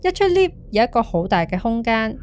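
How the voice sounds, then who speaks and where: A woman's voice: fairly high pitch, sing-song, brisk, darkish tone, slight background noise. An actress with a Hong Kong Cantonese accent playing an earnest young woman recounting a friend's eerie experience in a ghost-story podcast. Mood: earnest, suspenseful. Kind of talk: scripted ghost-story monologue.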